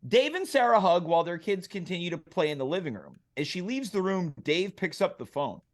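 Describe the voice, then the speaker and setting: Animated, higher-voiced man hosting a script read. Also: a little fast. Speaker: host reading stage directions. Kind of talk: narrated table read and casual chat.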